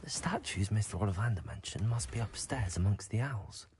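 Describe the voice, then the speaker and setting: An actor playing a polite, helpful young student wizard in a video game, speaking courteously and often musing aloud. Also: male voice, fairly low pitch, expressive, brisk, noisy background, lightly acted. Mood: musing, searching. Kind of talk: video game protagonist dialogue.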